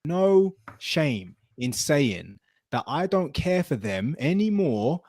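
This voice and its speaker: A man's voice: a little high, sing-song, takes it slowly, a little mellow, dry recording. Casual British man with a dry, close sound. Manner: emphatic, slangy, swears. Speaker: podcast host; military veteran. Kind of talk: opinionated casual podcast chat.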